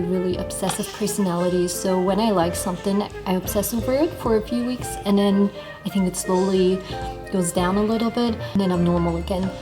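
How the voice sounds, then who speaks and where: A young woman from Germany with a light German accent, casually chatting and rambling while she cooks in a hostel kitchen. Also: medium pitch. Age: young adult. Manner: chatty, rambling, apologetic about talking too much. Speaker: vlogger. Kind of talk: casual vlog chat while cooking. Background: background music.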